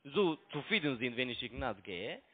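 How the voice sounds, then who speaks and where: A man's voice: mid-range voice, big pitch swings, moderate pace. Phone caller, male, foreign accent, non-native and broken grammar, mid-range voice, insistent and repetitive, thin telephone sound. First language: not German. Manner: broken German, repeats phrases insistently. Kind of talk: argumentative phone conversation. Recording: tinny narrowband phone line.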